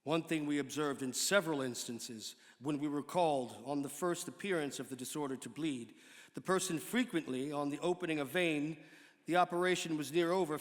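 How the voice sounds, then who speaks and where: A man with a somewhat high voice gives a dramatic reading of a historical account. He is measured and formal in his phrasing. The sound carries the long reverb of a hall. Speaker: actor giving a dramatic reading. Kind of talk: dramatic reading of an 18th-century pamphlet.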